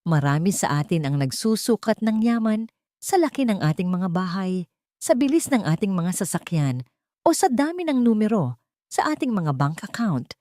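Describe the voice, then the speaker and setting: A woman voicing a calm, sincere millionaire businessman in an audio story, composed, measured and formal. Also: mid-range voice, no echo, studio quality, natural voice. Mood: calm sincerity.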